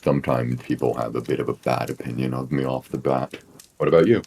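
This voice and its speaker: A man from the United States co-hosting a casual chat, speaking in a measured, slightly formal way. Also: a bass voice, steady tone, gravelly, a little mellow, slightly rough. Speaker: podcast co-host.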